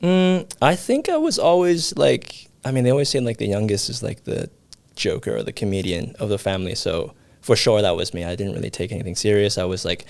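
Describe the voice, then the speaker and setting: A young man from Hong Kong talks easily as a podcast guest, loose and chatty with plenty of filler words. His voice sits fairly low, and the recording is dry and close with no room sound. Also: brisk, vocal fry, no echo. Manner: relaxed, chatty, many fillers. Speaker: guest, production company founder. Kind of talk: casual interview. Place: video podcast studio.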